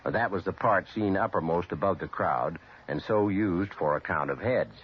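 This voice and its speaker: A man's voice: low-pitched, moderate pace. A man with an American accent presenting a scripted, explanatory old radio segment. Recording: dull old radio recording.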